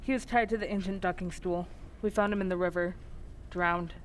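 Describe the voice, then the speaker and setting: A woman playing a calm, reassuring, matter-of-fact small-town sheriff in an old-time radio drama. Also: lowish voice, brisk, lightly acted, rough recording.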